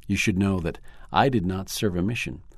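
A man reading the quoted lines of a friendly older male church leader, asking questions calmly. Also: low-pitched, normal speed, slightly rough, dry recording, studio quality. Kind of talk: read-aloud quoted dialogue.

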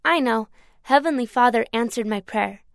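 A woman reading a storybook, voicing a gentle, sad little girl in a soft, childlike way. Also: a little high, moderate pace, gravelly, clean tone, no echo, studio quality, pitched above the performer's own voice. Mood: cheerful.

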